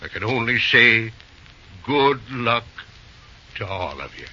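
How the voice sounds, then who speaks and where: An actor playing a kindly, proud old railroad boss with a halting rustic drawl in an old-time radio drama. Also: male voice, mid-range voice, sing-song. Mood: choked up. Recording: dull, hissy old radio recording.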